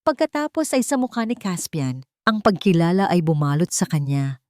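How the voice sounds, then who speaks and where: Lower-pitched woman narrating romance drama. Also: very animated, normal speed, a little mellow, dry recording, clean studio sound. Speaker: story narrator. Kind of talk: scripted first-person romance narration.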